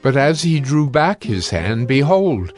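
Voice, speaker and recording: Solemn male scripture narrator over soft music. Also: mid-range voice, very animated, unhurried.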